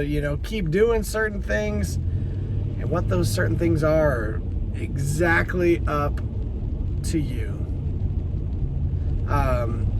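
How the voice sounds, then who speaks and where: A man in his late thirties, a teacher, talking earnestly and rambling a little in a casual motivational vlog from his car. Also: on the high side. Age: late 30s. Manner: rambling, earnest, self-interrupting. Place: car while driving. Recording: car noise in the background. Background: traffic noise.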